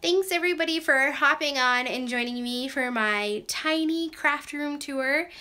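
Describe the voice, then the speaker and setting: Bright chatty Canadian woman. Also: high-pitched, unhurried. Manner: chatty, lots of "like" and "um". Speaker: craft vlogger. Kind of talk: casual chat to camera. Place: small bedroom craft room.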